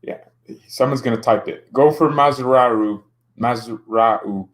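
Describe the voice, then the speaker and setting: A man's voice: medium pitch, takes it slowly. A young man casually streaming about football, joking around and reading out chat comments. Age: young adult.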